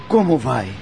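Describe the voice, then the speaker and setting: A man's voice: on the high side, gravelly, exaggerated voice. An actor playing a cheerful, easygoing older man in conversational radio drama style. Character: Ferdinando, easygoing older man, cheerful former office colleague.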